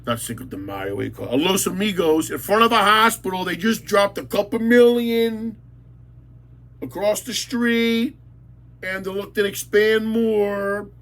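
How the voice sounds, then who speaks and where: A man's voice: high-pitched, moderate pace, fairly bright tone. A man ranting angrily about local matters, blunt and insulting, repeating words for emphasis. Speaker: local commentator. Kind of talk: angry ranting monologue.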